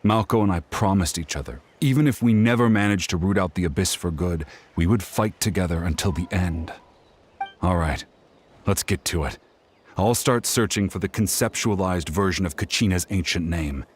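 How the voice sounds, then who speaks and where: A man's voice: low voice, moderate pace. A man voicing a grief-driven, determined warrior in a video game, speaking with earnest, solemn intensity. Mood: solemn, resolute. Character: grief-driven, determined male warrior searching for a lost comrade.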